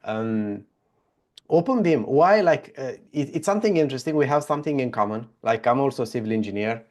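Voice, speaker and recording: Male interviewer, Romanian accent, casual and friendly, phrases come out haltingly. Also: mid-range voice, animated, a little mellow. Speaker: podcast host.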